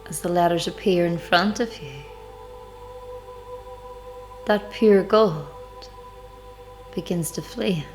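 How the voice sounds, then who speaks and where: A woman leading a devotional guided meditation, speaking in measured, deliberate phrases with pauses. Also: on the low side. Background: background music.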